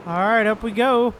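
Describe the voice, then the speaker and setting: A woman's voice: a little high, slight background noise. A woman giving casual, easygoing, giggly gameplay commentary as a streamer. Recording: game music behind.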